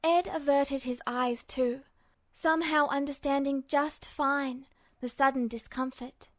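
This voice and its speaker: A woman with a high voice narrates fiction from a script. The recording is very dry, with the sound of a story read aloud for listeners. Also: steady tone, measured pace, dry recording. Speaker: podfic narrator.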